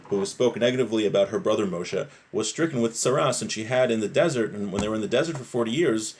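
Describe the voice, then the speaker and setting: A man's voice: lowish voice, fast, a little mellow, a little hiss or hum. Man teaching a religious text, explaining as he goes. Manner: explanatory, rephrasing and posing questions to listeners. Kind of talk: religious lesson, reading a text aloud and explaining it.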